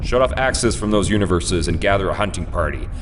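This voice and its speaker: A man's voice: expressive, fast. A man voicing a stern, commanding leader of a superhero council in a comic dub, giving terse orders. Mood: stern, urgent. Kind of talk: dramatic comic dub voice acting. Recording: long hall reverb over noisy rumbling bed.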